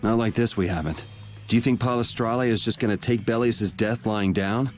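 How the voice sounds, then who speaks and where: A man's voice: on the low side, fairly quick, soft background music, close to an everyday voice. A man playing a laid-back young swordsman in a video game, speaking in a dry, matter-of-fact way. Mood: calm, wry.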